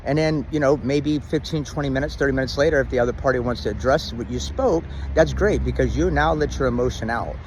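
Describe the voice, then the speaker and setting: A man's voice: mid-range voice, quick pace, hardly any pauses, a little hiss or hum, low-quality recording. Rambling middle-aged man outdoors. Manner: rambling, many restarts and ums; says he has a loud, dominant voice. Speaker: vlogger giving relationship advice. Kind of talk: casual talk to camera.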